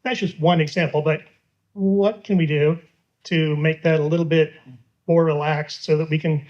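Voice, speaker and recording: A man's voice: on the high side, steady tone, normal speed, a little mellow, dull audio. A man in his fifties asking his representative a question at a town hall, talking in a casual, rambling way with lots of hesitation. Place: public library meeting room.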